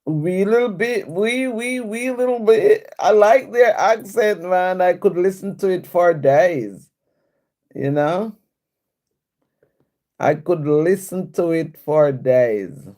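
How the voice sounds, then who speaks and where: A woman's voice: lowish voice, animated, slow pace, warm tone. A woman hosting a casual live stream, reading viewer comments aloud and answering them in a chatty way with frequent laughs.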